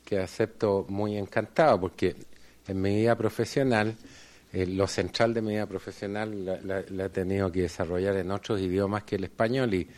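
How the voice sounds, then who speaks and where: A deep-voiced middle-aged man addresses a panel in a conference hall. He speaks in a measured, formal way and often stops on small fillers as he builds his points. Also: fairly even intonation, a little mellow. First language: Spanish. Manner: measured, hesitant with frequent fillers. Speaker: panel speaker, law school dean.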